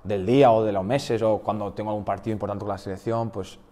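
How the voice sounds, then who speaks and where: Low-voiced young man chatting casually. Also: quick pace, in a large hall. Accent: Spanish, slight Basque. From Portugal, raised in the Basque Country. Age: 20s. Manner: relaxed, frequent restarts and fillers. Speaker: guest footballer. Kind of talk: casual interview chat.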